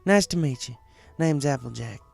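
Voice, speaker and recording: A folksy young farm mare from a cartoon story voiced by a man, pitched well up. The pony is hospitable and plain-spoken, talking in a friendly, welcoming way over background music. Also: animated, moderate pace, gravelly, a little mellow.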